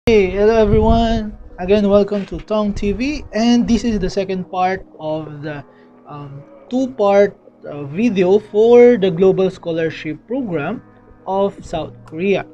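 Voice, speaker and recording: High-voiced young man, chatty and mellow. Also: measured pace, highs cut off, soft background music. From Philippines. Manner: chatty, repeats "okay" often, frequent fillers and restarts. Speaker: vlogger explaining a scholarship. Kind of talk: casual explanatory vlog. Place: indoors, small room.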